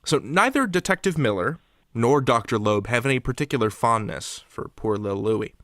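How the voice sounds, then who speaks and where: A man hosting a podcast, speaking in clear scripted presenter style. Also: mid-range voice, lively intonation, normal speed, a bit bright, no echo, studio quality. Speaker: podcast host. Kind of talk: podcast interview and scripted sign-off.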